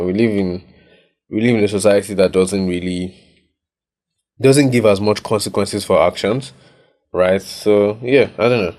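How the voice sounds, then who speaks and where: Young Nigerian man, low voice, studio podcast co-host, easygoing and reflective, tags his points with "right?". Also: darkish tone. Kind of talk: casual podcast chat. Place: recording studio.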